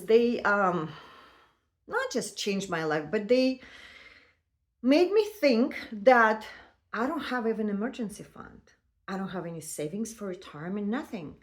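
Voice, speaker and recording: A woman's voice: a little high, big pitch swings. Woman in her fifties telling her story. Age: 50s. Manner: conversational, halting, with many fillers and non-native phrasing. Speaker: vlogger. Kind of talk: casual personal storytelling.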